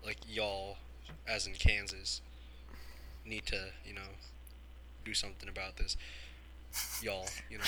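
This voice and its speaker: A man's voice: fairly low pitch, level tone, measured pace, long pauses, noisy background. A young man hosting a podcast, chatting casually with lots of fillers and jokes. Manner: rambling, many fillers, joking. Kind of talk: casual chat.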